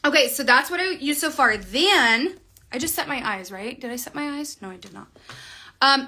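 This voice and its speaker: A woman's voice: high-pitched, sharp tone. A woman in her thirties chatting through a casual livestream makeup tutorial, recorded on a phone camera in a small room at home. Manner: chatty, bubbly, talks while applying makeup. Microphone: phone camera at arm's length.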